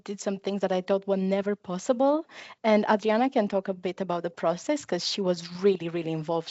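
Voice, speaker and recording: Woman, mid-pitched, informal film maker presenting, dry dull call audio. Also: a little mellow, no room sound. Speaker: short film maker introducing the film. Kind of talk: informal spoken presentation at online event. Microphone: video call.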